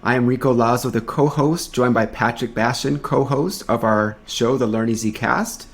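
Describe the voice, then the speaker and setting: A man's voice: medium pitch, fairly even intonation, normal speed. A man co-hosting a video podcast, talking in an earnest, reflective way and reading a guest introduction. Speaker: podcast co-host.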